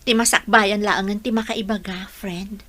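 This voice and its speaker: A woman's voice: medium pitch, fairly even intonation, normal speed, a bit bright, lightly acted. A woman playing a lovelorn, determined young bride in a radio drama, earnest and pleading. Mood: confiding, wistful. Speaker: audio drama actor.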